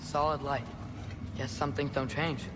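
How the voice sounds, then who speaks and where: A man's voice: mid-range voice, fairly even intonation, measured pace, background noise, lightly acted. An actor playing an earnest, curious teenage boy adventurer in a video game, youthful and plain-spoken. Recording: game music behind the voice.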